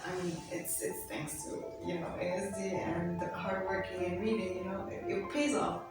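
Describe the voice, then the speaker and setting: A woman's voice: fairly low pitch, fairly even intonation, moderate pace, recorded from a distance. Young woman, echoey room, music behind. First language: Arabic. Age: young adult. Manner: chatty, many fillers like "you know" and "like". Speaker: interview guest, university student. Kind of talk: casual interview. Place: school set.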